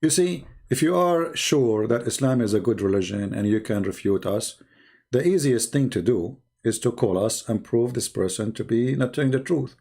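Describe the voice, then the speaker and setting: A man hosting a live call-in debate show, mocking and teasing callers in halting speech full of fillers. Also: medium pitch, gravelly, warm tone, breathy edge.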